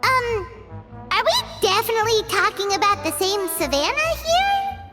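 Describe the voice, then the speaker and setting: A woman voicing a tiny, childlike floating companion in an anime game, chirpy and curious with a squeaky voice. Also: very animated, normal speed, sharp tone, in a large hall, soft background music, heavily acted. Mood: puzzled.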